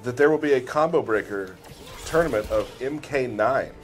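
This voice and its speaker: A man of average pitch hosts a game stream with casual commentary and teasing banter. Music is faintly audible behind his voice. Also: lively intonation, moderate pace.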